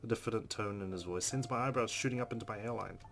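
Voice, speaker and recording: A man's voice: fairly low pitch, fast talker, gravelly, a bit bright. A man doing a let's play, reading game narration aloud expressively and adding casual, informal asides. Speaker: let's player. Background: game music.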